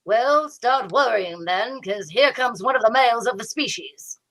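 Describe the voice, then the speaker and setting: A woman's voice: a little high, lively intonation, unhurried, fairly bright tone, poor audio. A woman playing a fussy, droll, superstitious male sidekick in a radio mystery, arch and theatrical. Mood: nervous, arch. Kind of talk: old-time radio comedy-mystery acting.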